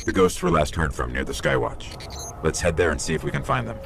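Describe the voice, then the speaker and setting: A small robotic guide from a sci-fi game, speaks in a deep, very animated voice. The delivery is clipped, earnest and urgent, like a scout giving a briefing. Music plays clearly behind the lines. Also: male voice, brisk, heavily acted. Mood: briskly briefing. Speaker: companion guide. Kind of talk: scripted video game dialogue.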